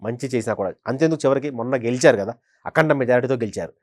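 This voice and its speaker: A man's voice: medium pitch, very fast, darkish tone, dry recording. A man giving conversational political commentary as a journalist, emphatically repeating phrases for stress. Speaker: senior journalist, political analyst.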